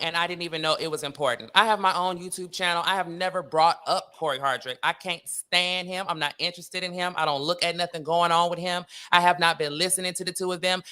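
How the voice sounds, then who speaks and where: A woman's voice: on the low side, bright, forceful tone, smooth. A middle-aged woman from Los Angeles telling gossipy stories as an interview guest, brash, sassy and emphatic. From Los Angeles, United States. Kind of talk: gossipy storytelling.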